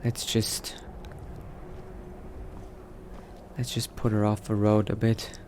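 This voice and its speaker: A man's voice: fairly low pitch, monotone, normal speed, darkish tone, natural voice. A man voicing a cautious, coldly pragmatic new vampire in a roleplay, muttering to himself and often dropping into a whisper. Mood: grim, calculating. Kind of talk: in-character roleplay narration, thinking aloud. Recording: noticeable background noise.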